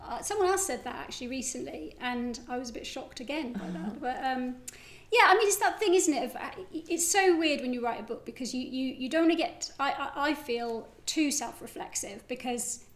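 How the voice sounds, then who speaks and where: A woman with a high voice speaks as a guest poet in conversation on stage. She is animated and self-deprecating, with a wry turn and a readiness to laugh. The room is clearly echoey. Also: fairly quick, hardly any pauses. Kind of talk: live on-stage conversation about writing.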